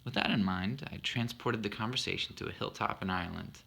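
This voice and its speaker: A man in his thirties talks through a poem he wrote, earnest and reflective with a self-deprecating streak. His voice sits a little low with a gravelly fry, yet carries a bright, forward edge. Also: fairly quick. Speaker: husband explaining his poem. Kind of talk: reflective spoken monologue.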